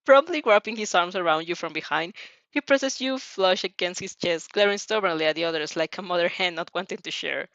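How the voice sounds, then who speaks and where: A woman narrating fan fiction in an animated, informal way, stumbling now and then as she reads. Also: lowish voice, a little fast, dry recording, highs cut off. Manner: giggly, stumbles over reading.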